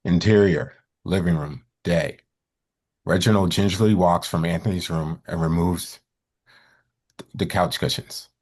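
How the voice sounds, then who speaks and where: A man's voice: low-pitched, steady tone, unhurried, pauses often, darkish tone, husky. A man reading stage directions aloud as scripted narration at a live screenplay table read.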